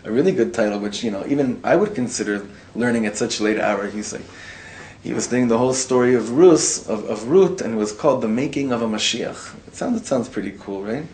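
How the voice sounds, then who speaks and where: A rabbi teaching a casual class to live students, animated and informal, dropping Hebrew and Yiddish words into his talk. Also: mid-range voice, fairly quick, slight background noise. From Israel. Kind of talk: casual spoken Torah class.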